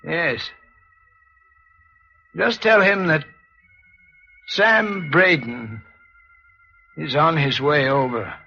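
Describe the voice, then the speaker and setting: Distraught murderous husband, 1940s radio melodrama, raised pitch, halting, dull old broadcast sound with music. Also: male voice, bright, forceful tone, exaggerated voice. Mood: grim resignation. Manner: halting, anguished. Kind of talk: 1940s radio drama acting.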